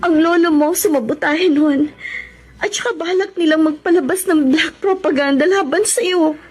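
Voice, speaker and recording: Remorseful, high-voiced young woman. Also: a little mellow. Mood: anguished confiding. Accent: Filipino. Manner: tearful, halting, melodramatic. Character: remorseful young escort woman confessing betrayal to her lover. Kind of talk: Tagalog radio drama acting.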